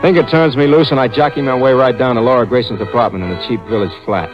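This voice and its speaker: A man's voice: medium pitch, fairly even intonation, fast, lightly acted. An actor playing a wry, slangy, easygoing store detective who narrates his own story in 1950s radio drama style. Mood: wry narrating. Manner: slangy, wry tough-guy delivery. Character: wisecracking hardboiled store detective, male, easygoing. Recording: muffled vintage radio recording with music.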